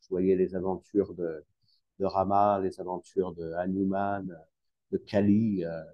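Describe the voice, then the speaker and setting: A man's voice: low voice, flat delivery, measured pace, no echo, highs cut off. A French man from Lille, a teacher and interview guest, talking casually with many fillers and restarts. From northern France, Lille. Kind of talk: conversational interview.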